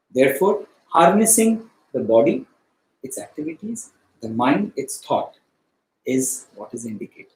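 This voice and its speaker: Slow, deliberate man teaching in echoey room. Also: on the high side, darkish tone. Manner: deliberate, emphatic short phrases with pauses. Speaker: spiritual study teacher. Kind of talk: explanatory teaching of Vedanta philosophy. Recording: echoey, roomy sound.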